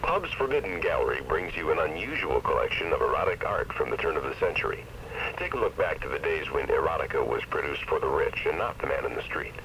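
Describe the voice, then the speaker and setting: A man reading a scripted 1970s radio advertisement as a commercial announcer. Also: extremely low pitch. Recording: dull hissy 1970s AM radio cassette.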